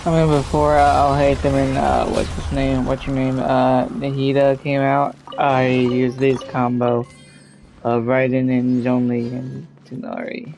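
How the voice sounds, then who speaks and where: Guy streaming a game, casual n halting, normal pitch, faint music under him. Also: monotone, gravelly. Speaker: gaming streamer. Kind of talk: casual gameplay commentary.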